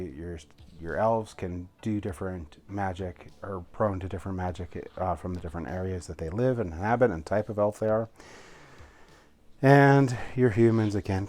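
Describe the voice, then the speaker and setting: A man's voice: low voice, darkish tone. A man giving a casual, rambling, enthusiastic review as he explains a game rulebook. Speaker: tabletop game reviewer, host.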